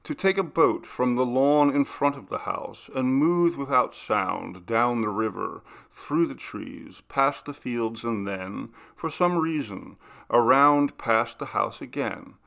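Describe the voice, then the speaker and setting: A man reading aloud, voicing an amused, courteous young gentleman in a ghost story. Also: medium pitch, lively intonation, takes it slowly, creaky, heavily acted. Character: young gentleman host, Paul, amused and mysterious.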